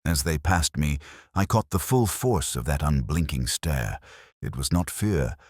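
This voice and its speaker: A man's voice: rumbling low, lively intonation, unhurried, a little mellow, dry recording, studio quality. A man reading a mystery story as an audiobook narrator, in a dramatic scripted style.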